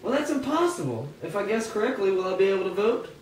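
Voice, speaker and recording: A man's voice: high-pitched, very animated, fast talker. A man voicing an earnest, defiant freed man in a puppet show, emphatic and indignant about equal rights. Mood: eager, earnest. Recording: roomy, distant, noisy amateur recording.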